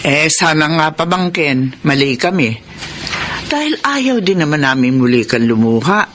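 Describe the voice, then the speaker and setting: An actress with a Filipino accent playing a gentle, concerned middle-aged aunt giving counsel in a radio melodrama. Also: female voice, deep voice, expressive, measured pace, background noise.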